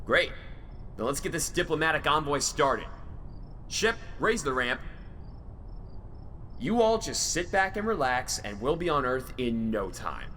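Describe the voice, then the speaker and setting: A man's voice: fairly high pitch, very animated, unhurried, pauses often, pushed and bright, long reverb tail, a little hiss or hum, exaggerated voice. A man playing a cocky, playful, roguish spaceship captain in an audio drama, teasing and mock-formal. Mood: breezy confidence.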